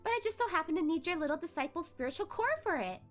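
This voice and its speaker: A woman voicing a dead puppet child who giggles and taunts in a high, sneering voice. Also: lively intonation, fast, soft background music. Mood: gleeful taunting.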